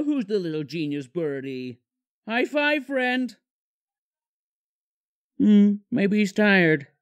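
A high-strung whiny cartoon panda. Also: male voice, full character voice. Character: excitable, anxious young male panda.

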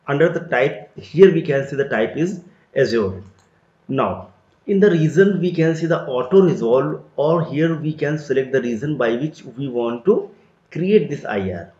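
A man teaching a screen-recorded software tutorial, explaining each step as he clicks through an interface. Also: mid-range voice. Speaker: tutorial instructor. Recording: roomy, dull lo-fi sound.

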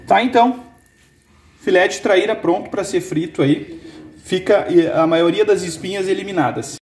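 A man in his forties, from Brazil, hosting a fishing channel and calmly explaining how to fillet a fish, step by step, in an indoor kitchen. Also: high-pitched, normal speed. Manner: calm step-by-step explaining, pointing things out. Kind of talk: cooking demonstration. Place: indoor kitchen with brick and wood walls.

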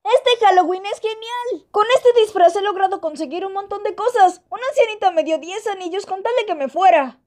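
A woman's voice: extremely high pitch, fairly quick, hardly any pauses. A woman with a Latin American Spanish accent voicing a childlike, wronged and vengeful male fox in a cartoon fan dub. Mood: cheerful.

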